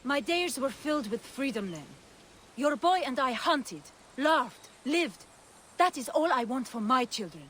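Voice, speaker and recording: An actress playing a fierce, bitter rebel fighter in a video game cutscene. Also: female voice, high voice, sing-song, unhurried, a bit bright, heavily acted. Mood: wistful. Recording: faint outdoor ambience noise.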